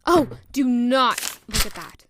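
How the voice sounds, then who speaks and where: A woman's voice: a little high, animated, slow pace, background noise. A woman playing a flustered, self-critical young woman in a romantic period fantasy audio drama, with formal, self-deprecating wording. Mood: flustered, defensive. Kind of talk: audio drama acting with first-person narration.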